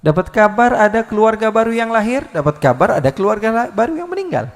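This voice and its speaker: Gravelly-voiced preacher guy, kinda high voice, booming echo like a big hall, loud then hushed. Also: fast. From Indonesia. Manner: lively, swinging from loud calls to soft asides, rhetorical questions. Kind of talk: live Islamic sermon. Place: large hall at a live religious gathering.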